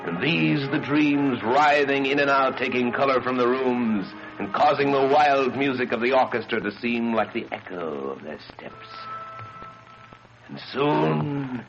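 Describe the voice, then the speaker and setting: A man narrating a gothic horror story in a dramatic old radio manner, swinging between hushed and declaiming delivery. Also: lowish voice. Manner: theatrical, swelling from hushed near-whisper to declaiming. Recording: dull, band-limited radio sound under loud music. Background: loud music.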